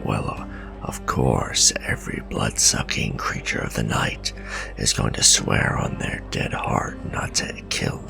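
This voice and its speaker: A man playing a gruff, centuries-old werewolf vampire hunter, bitter and distrustful, growling grim, breathy warnings in a dramatic fantasy ASMR roleplay. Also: full character voice. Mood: scornful. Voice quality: very deep, gravelly, breathy growl. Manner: grim, scornful, slow and deliberate warnings. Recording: voice over clear background music. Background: music.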